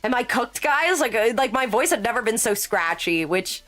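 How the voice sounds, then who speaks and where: A woman's voice: a little high, animated, normal speed, fairly bright tone, soft background music. A woman in her late twenties chatting casually to her live-stream chat as she works, rambling with laughing asides. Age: late 20s.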